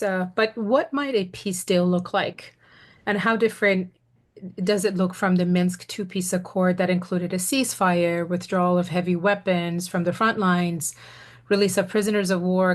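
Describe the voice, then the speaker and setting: A woman with a slightly low voice moderates a panel. Her intonation stays very flat and even as she conversationally puts questions to the speakers.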